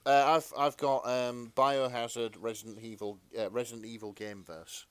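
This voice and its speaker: A man's voice: mid-range voice, level tone, moderate pace, a bit bright, clear, resonant tone, no room sound. A man co-hosting a podcast in casual banter, hesitant and self-deprecating as he talks. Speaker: podcast co-host.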